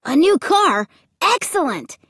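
A woman doing an excitable, high-voiced young boy. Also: normal speed, no echo, clean studio sound. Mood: amazed. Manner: exclaiming, breathlessly keen.

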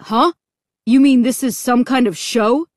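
A woman's voice: mid-range voice, big pitch swings, measured pace, no echo, studio quality. A woman as an indignant, blunt storybook dad. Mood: suspicious. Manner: blunt, demanding. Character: indignant, suspicious father, easily deflated.

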